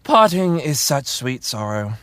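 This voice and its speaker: A man's voice: mid-range voice, sing-song, measured pace, a bit bright, slight background noise. A man playing a flamboyant, self-absorbed actor with a pompous, gushing, theatrical manner, in a comedic audio drama. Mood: breezy, self-important.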